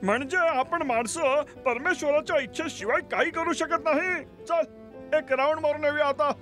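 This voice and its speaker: A man's voice: extremely high pitch, normal speed, a bit bright, faint music behind. An actor playing a gullible, boastful, food-loving cartoon man, jolly and reckless, with bombastic delivery. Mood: eager. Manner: bombastic, exclamatory. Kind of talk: exaggerated cartoon dubbing.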